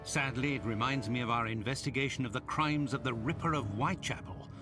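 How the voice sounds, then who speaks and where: An actor playing a polite, uneasy gentleman sidekick with a genteel, earnest way of talking in a video game. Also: medium pitch, fairly quick, music in the background, close to an everyday voice. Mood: uneasy concern. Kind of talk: video game cutscene voice acting.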